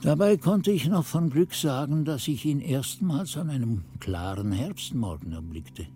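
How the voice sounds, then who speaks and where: Dark-voiced, solemn old monk chronicler. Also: male voice, mid-range voice. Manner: measured, solemn storytelling. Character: old monk chronicler recalling his youth, solemn, brooding. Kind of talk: literary radio play narration.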